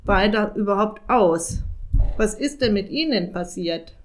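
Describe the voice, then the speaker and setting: A woman voicing a gloomy, complaining male raven with a croaking, rasping voice in a storybook reading. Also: mid-range voice, lively intonation, slow. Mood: flustered. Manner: whining, long-winded complaining.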